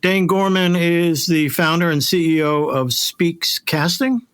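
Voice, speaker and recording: A middle-aged man hosting a podcast conversationally, halting and emphatic, often repeating phrases to drive them home. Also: on the high side, fairly bright tone, smooth, faint music behind. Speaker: podcast host.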